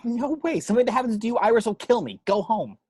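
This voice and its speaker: A man playing an impatient, protective uncle superhero with a husky voice in an amateur script read. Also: extremely high pitch, sing-song, a little mellow, full character voice. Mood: alarmed. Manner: brisk, exasperated.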